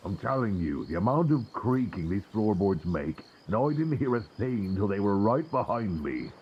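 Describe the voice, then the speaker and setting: A man playing a weary, wry old nineteenth-century lighthouse keeper with halting, folksy speech in a suspense audio roleplay, close on a binaural mic. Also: fairly low pitch, sing-song, dull audio, a little hiss or hum. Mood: sheepish, grumbling. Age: older. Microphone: close binaural microphone.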